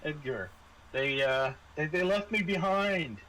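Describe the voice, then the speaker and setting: A man's voice: on the high side, animated, unhurried, fairly bright tone, a little hiss or hum, low-quality recording. A man voicing a frightened, sick teenage space pirate in a tabletop game, stammering and slangy. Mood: nervous, aggrieved.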